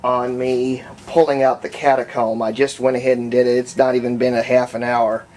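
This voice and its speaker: A man giving a casual hands-on demonstration, unhurried and folksy, thinking aloud as he works. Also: medium pitch, level tone, darkish tone, poor audio. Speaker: hobbyist restorer and video host.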